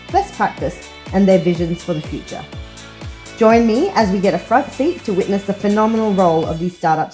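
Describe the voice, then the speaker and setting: A woman hosting a startup show, reading a measured scripted intro and sponsor lines, then asking interview questions. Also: medium pitch, darkish tone. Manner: measured, reads sponsor copy. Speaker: podcast host.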